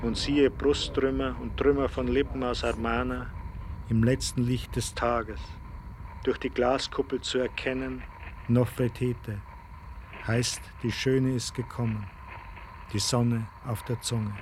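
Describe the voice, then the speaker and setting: Male reader for radio-play verse: solemn, declamatory, very even intonation, clear outdoor noise behind. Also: mid-range voice, unhurried. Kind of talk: poetic radio drama recitation.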